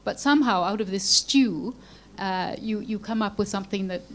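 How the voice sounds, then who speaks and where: A woman's voice: medium pitch, normal speed, slight background noise. A woman speaking as a panelist at a conference, thoughtful and lightly joking as she makes her point. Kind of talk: panel discussion remarks.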